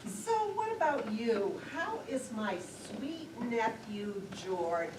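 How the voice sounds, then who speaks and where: A woman's voice: on the high side, big pitch swings, slow. A woman acting a chatty, nagging, self-absorbed older sister in an amateur stage play. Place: lakeside community theater stage, picked up from afar. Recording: distant echoey stage recording, clear noise.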